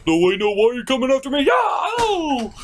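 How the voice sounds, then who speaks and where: A man's voice: very high-pitched, huge pitch swings, normal speed, noisy background, pitched above the performer's own voice, full character voice. A man voicing a panicked villain puppet in a comedy sketch, yelping and pleading shrilly. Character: panicked, shrieking villain puppet being beaten up.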